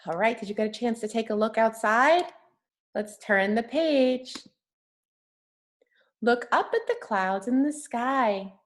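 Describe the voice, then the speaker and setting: Slow, high-voiced woman reading to children. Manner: encouraging, simple child-directed talk with frequent questions. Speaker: teacher reading aloud to young children. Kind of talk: children's picture book read-aloud with questions.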